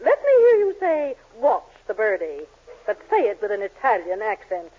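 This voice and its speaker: A woman's voice: medium pitch, huge pitch swings, normal speed. A woman playing a haughty, indignant middle-aged matron in a 1940s radio sitcom, with theatrical, affronted exclamations. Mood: suspicious, dismayed. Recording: tinny narrow-band 1940s radio recording.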